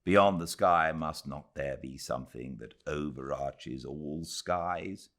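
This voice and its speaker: A British man narrating a literary classic as an audiobook reader, with measured, dramatic phrasing and expressive delivery. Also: a bass voice. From Britain.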